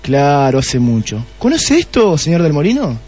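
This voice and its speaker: An actor playing a shrewd, testy police detective in a radio play, with an Argentine accent and a deliberate way of questioning. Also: male voice, a little high, huge pitch swings, a little mellow, soft background music. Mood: probing, insinuating. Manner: deliberate, repeats answers back pointedly. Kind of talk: Spanish-language radio play acting.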